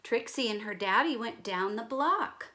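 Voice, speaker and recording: A woman's voice: on the high side, unhurried. A woman reading a picture book aloud to young children like a teacher, expressively, with explanatory asides. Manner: careful, expressive storytelling with gentle questions to listeners.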